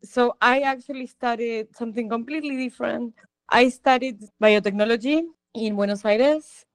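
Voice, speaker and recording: Woman with a smooth, dry voice. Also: on the high side, moderate pace, no echo. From Argentina. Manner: reflective, storytelling. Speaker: guest, production designer. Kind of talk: casual interview talk.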